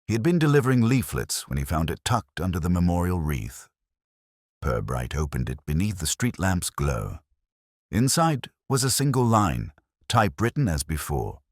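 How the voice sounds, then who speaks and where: A man's voice: low voice, sing-song, moderate pace, no echo, clean studio sound. A man reading a scripted mystery story as its narrator.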